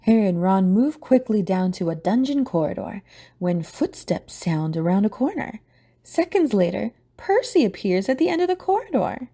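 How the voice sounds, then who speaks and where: Mellow, very expressive woman narrator. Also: medium pitch, moderate pace, slightly rough. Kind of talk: scripted audio drama narration.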